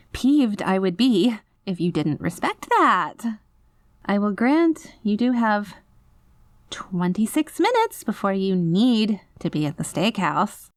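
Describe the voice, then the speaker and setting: Woman acting as obsessive yandere girlfriend, audio drama, mid-pitched, saccharine and over-polite, veiled threat, tightly held panic, very dry recording. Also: no echo, close to an everyday voice. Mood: strained, peeved. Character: obsessive reformed yandere girlfriend, sweetly menacing, barely holding back panic. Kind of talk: scripted audio roleplay, voicemail monologue.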